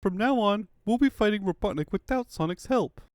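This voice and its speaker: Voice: mid-range voice, animated, fairly quick, no echo, clean studio sound, close to an everyday voice. A performer voicing a grave, dutiful young female leader with a solemn, emotional manner in a cartoon comic dub.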